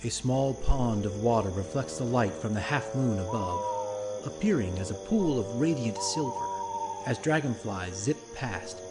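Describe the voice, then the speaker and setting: Loud music and chirping night insects surround a man narrating a fantasy radio drama. His delivery is scripted, measured and theatrical, in a mid-pitched storyteller's voice. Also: animated. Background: loud music with night insect sounds.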